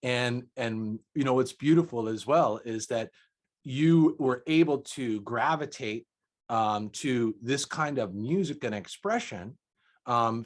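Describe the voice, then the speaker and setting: A man hosting an interview over a call, full of generous praise, his sentences often sinking softly at the end. His pitch sits in a plain middle range. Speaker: interviewer host. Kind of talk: casual interview.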